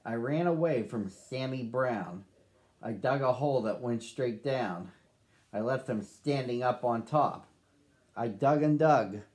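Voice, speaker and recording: Slow, rhythmic male storybook reader. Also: medium pitch, darkish tone. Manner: slow, rhythmic, sing-song rhyming delivery. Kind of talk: reading a rhyming picture book aloud to children.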